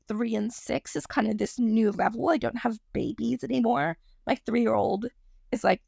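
A woman in her thirties hosting a podcast, talking casually on her own with a croaky voice, rambling and apologizing for her digressions. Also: a little high, no echo, clean studio sound. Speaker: podcast host. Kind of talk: casual solo podcast monologue.

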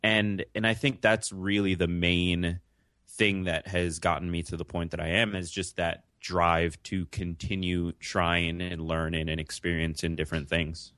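A man's voice: low-pitched, flat delivery, unhurried, fairly bright tone, dry recording, clean studio sound. A young man, born in Jamaica, answering interview questions in an earnest, reflective way with frequent restarts. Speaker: interview guest. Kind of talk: conversational interview.

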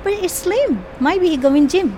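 A woman with a Pakistani accent and Punjabi mother tongue, chatting casually in halting, simple non-native English phrasing. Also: very high-pitched, animated, over music. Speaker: corner shop keeper. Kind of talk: casual conversational interview. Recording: noisy ambience with vehicle sounds.

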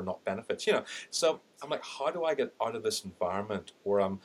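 Husky-voiced Irish man telling his story. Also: fairly low pitch. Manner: animated, acts out quoted thoughts with laughing asides. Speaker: podcast guest, sales and LinkedIn trainer. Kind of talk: candid conversational storytelling.

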